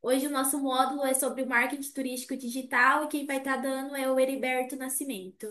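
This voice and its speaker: A woman's voice: sits high, fairly even intonation, fairly quick, a bit bright, roomy sound, distant mic. A woman moderating an online training session, casually introducing the speaker. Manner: casual, friendly announcements. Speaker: event moderator.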